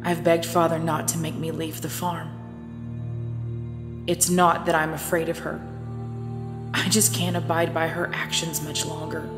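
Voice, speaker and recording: A woman's voice: deep voice, level tone, measured pace, pauses often. A woman playing a sorrowful, self-sacrificing young woman in an audio drama, with solemn, formal, old-fashioned diction. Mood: grave, resolute. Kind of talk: ASMR audio drama acting. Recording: long reverb under new-age music.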